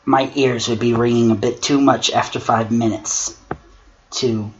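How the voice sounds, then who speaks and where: A wry male god of struggle, played by a man, holds forth with a slow, theatrical delivery. He has a middle-pitched voice and treats horror storytelling with deliberate drama and sarcasm. The recording is dull and lo-fi. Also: fairly even intonation, close to an everyday voice. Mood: ominous storytelling. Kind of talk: dramatic creepypasta reading with mocking commentary. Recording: dull lo-fi mic with clear noise.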